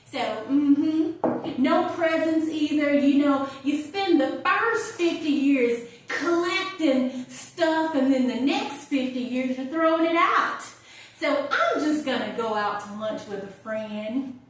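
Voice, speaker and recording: A woman's voice: sits high, animated, takes it slowly, pitched above the performer's own voice. A woman performing a theatrical monologue as a chatty, wry older woman, conversational and hesitant. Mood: wistful, chatty. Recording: distant, very echoey room.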